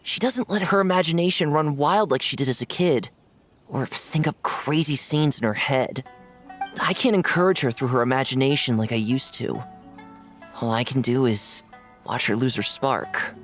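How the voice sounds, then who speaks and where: A woman's voice: extremely low pitch, normal speed, soft background music. A woman voicing a young boy who is an imaginary friend, earnest and impulsive. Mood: sad. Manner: earnest and pleading. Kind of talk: video game voice acting.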